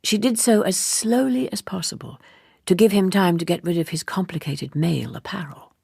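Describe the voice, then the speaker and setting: Low, husky woman narrating a novel. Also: normal speed, warm tone, dry recording, clean studio sound. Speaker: audiobook narrator. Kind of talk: scripted literary narration.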